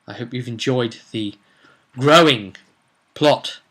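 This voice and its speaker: A man's voice: mid-range voice, slow, fairly bright tone. A man narrating a tabletop roleplay story as game master, casual but dramatic, letting phrases trail off. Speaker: game master and vidcast host.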